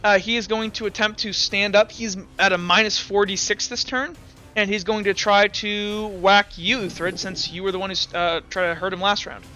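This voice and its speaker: A man's voice: sits high, fairly even intonation, normal speed, pushed and bright, soft background music. A man running a tabletop game as game master, talking through the rules and dice aloud and often correcting himself. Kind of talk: narrating and refereeing a tabletop game.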